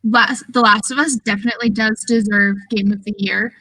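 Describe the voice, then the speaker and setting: A woman's voice: medium pitch, steady tone, a little fast, sharp tone, low-quality recording. A woman on a podcast panel, chatting casually about video games.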